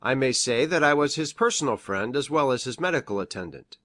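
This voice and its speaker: Give this storybook figure a lively, swooping delivery from a woman putting him on. He is an eccentric man of science and medicine, pedantic and formal, pitched down low. His manner is earnest and effusive, with great care over exact wording. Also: fairly quick. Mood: solemn, precise. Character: eager, pedantic, eccentric male country doctor and scientist. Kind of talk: audiobook character voice.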